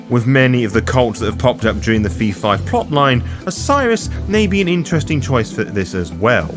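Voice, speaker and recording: A man hosting a scripted guide, narrating in a theatrical, playful way with wry asides. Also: mid-range voice. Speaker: host of a roleplaying lore guide series. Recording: music behind the voice. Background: background music.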